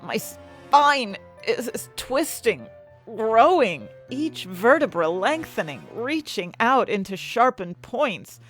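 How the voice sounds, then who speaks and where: A woman's voice: fairly high pitch, sing-song, slow, soft background music. A woman acting an anxious, desperate young heroine who tells her own fantasy story in intense, dramatic first-person narration. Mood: panicked. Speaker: narrator and main character of an audio fiction story.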